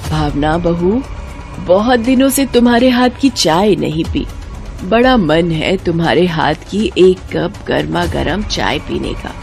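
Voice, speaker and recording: Woman acting a soft-spoken, gentle elderly mother-in-law. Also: fairly low pitch, expressive, measured pace, vocal fry, faint music behind. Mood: gentle request.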